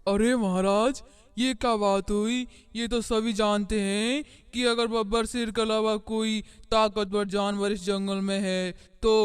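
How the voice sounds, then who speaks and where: A man's voice: high voice, fairly bright tone, smooth. A man voicing a boastful, scheming deer in a cartoon fable, pushy and self-important. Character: boastful, scheming male deer rival for the throne. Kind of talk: animated moral story acting.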